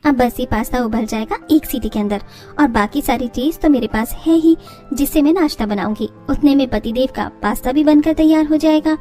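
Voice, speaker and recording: A woman plays a young daughter-in-law in a cartoon family story. She is cheerful, confident and a little sly, with a high voice. Faint music sits behind her. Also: brisk, mellow, lightly acted. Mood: secretive, pleased.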